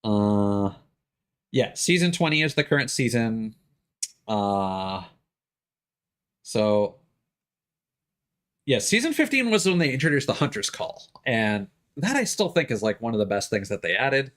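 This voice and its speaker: A man's voice: mid-range voice, very animated, unhurried, pauses often, a bit bright. A man working as a game streamer, chatting casually and explaining things in an informal way with some swearing. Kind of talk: casual livestream chat.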